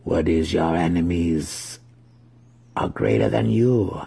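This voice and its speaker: A man reading a story aloud, voicing a mocking otherworldly demon handmaiden in a slow, overly smooth voice. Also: deep voice, mellow, full character voice. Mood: mocking, calm.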